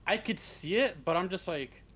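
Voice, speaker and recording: A man chatting casually as an interview guest, halting and hesitant as he goes, with plenty of swearing. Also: sits high, a bit bright. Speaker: interview guest, script writer. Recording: clearly noisy live stream audio.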